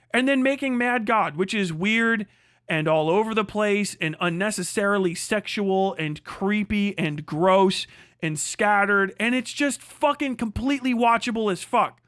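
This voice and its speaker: A man with a notably high voice delivers unscripted livestream commentary. He is opinionated and emphatic, swearing freely and repeating himself to hammer points home. The sound is close and very dry, with no room echo. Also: fairly bright tone. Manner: profane, emphatic, repetitive. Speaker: livestream host.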